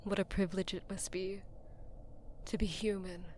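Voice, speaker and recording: A woman's voice: mid-range voice, little pitch movement, moderate pace, a little mellow, slight background noise, close to an everyday voice. A woman playing a wistful, proud immortal goddess of war in a fantasy audio drama. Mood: wistful, teasing.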